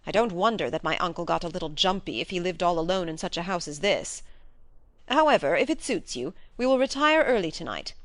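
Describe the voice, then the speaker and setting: A woman voicing a polite, frank young gentleman heir who is a little uneasy. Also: fairly low pitch, expressive, a little fast, no room sound, natural voice. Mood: uneasy, candid.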